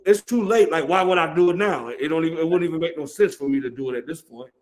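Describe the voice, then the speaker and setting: Male rapper guest, 40s, American accent, fairly high voice, slangy storyteller in relaxed video-call interview chat. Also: quick pace. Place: dim large room indoors, on a video call.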